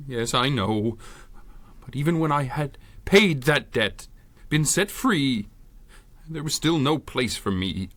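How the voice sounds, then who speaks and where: An actor playing a desperate, remorseful scientist and former villain in a 1930s-style radio drama, halting and melodramatic. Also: male voice, mid-range voice, lively intonation, a bit bright. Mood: remorseful, anguished. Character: desperate, remorseful scientist, reformed male supervillain.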